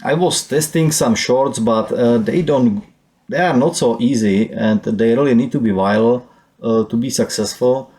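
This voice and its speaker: A man with a Czech accent walking through his channel's stats, speaking non-native English haltingly with many fillers. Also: mid-range voice, darkish tone. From Czech Republic. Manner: halting, with frequent "uh" and "let me say". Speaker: YouTuber. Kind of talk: screen-share channel walkthrough.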